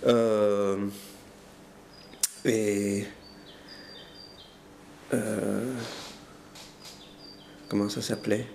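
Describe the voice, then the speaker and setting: An older man recounting his family's history in a hesitant, halting way, with many fillers and restarts. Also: lowish voice, little pitch movement, gravelly, darkish tone, slight background noise. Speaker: interviewee telling family history. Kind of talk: hesitant spoken recollection.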